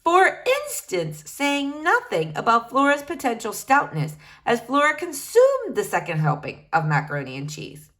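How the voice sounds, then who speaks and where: Expressive woman reading a children's novel. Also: moderate pace. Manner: expressive, shifts pitch for each character. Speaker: reader.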